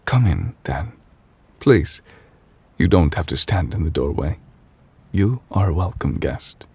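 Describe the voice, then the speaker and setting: A man playing an ancient, weary chief judge in an intimate ASMR roleplay, formal and gentle, speaking in measured, hesitant phrases. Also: expressive, heavily acted. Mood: quietly welcoming. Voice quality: husky, breathy low voice. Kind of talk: intimate ASMR audio roleplay, slow dramatic monologue.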